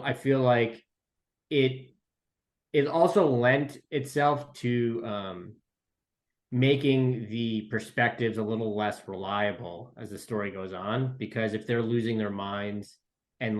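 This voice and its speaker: A man's voice: mid-range voice, steady tone, takes it slowly. A man chatting casually as an interview guest and writer, with loose, tentative phrasing padded with conversational asides. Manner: loose, tentative phrasing full of "like" and "you know". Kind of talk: casual chat.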